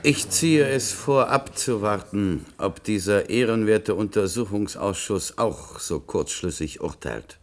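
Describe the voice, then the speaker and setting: Lowish-voiced, sceptical prosecutor. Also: male voice, expressive. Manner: formal, measured, pointed. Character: sceptical, probing male public prosecutor at inquiry. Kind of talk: German radio play acting.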